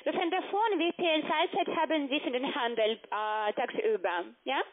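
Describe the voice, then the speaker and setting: A woman with a foreign accent, a non-native speaker, giving a polite scripted sales pitch by phone. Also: normal speed. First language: not German. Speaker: telephone sales agent. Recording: thin phone line.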